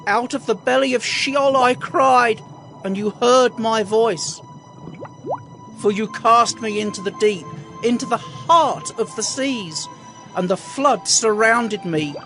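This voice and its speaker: Man playing a high-voiced pleading prophet. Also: a bit bright, soft background music, full character voice. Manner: slow, earnest pleading prayer. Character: Jonah, distressed angry male prophet. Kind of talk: audio drama acting.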